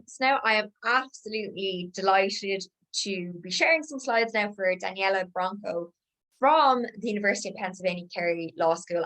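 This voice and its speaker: A woman's voice: medium pitch, creaky, fairly bright tone, low-quality recording. Woman running a webinar. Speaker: senior awards manager. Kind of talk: webinar presentation.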